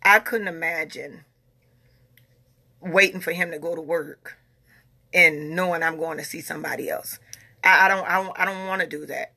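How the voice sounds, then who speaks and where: Woman in her 40s, raspy bright voice, just chatting to her followers, earnest, keeps repeating herself. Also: on the low side, steady tone, normal speed, pauses often. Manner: earnest, repeats herself, talks in a Southern US dialect. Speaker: vlogger. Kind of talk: casual talk to followers.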